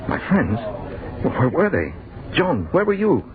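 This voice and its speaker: A bewildered man narrates an old radio horror tale in halting, overwrought fragments. His pitch swings widely as he grows agitated. The sound is thin and band-limited, with a crowd murmuring behind him. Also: wildly swooping pitch, phone-quality sound. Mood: uneasy, dazed. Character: bewildered, agitated man recounting a waking nightmare.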